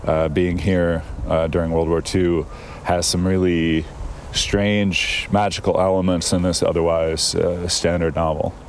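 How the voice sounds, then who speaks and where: A man's voice: low-pitched, little pitch movement, measured pace, a little hiss or hum. A man in casual conversation, telling stories thoughtfully, with frequent fillers and digressions. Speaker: guest. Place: outdoors in a town.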